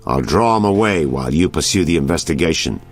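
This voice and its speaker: Hunted detective in a video game, deep voice, urgent and clipped. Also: male voice, lively intonation, fast, soft background music. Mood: tense, urgent. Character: hunted, anxious adult male detective.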